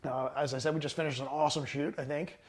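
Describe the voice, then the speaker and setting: A man's voice: fairly high pitch, fast. Chatty male photographer hosting a sit-down interview. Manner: relaxed, many "uh" fillers, chatty. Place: indoors at a rented home.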